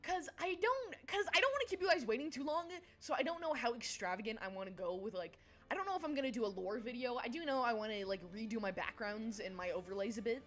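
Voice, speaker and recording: Giggly high-voiced young woman streamer. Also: lively intonation, quick pace, fairly bright tone. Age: young adult. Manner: giggly, scatterbrained, jumps between topics. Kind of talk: casual livestream chat.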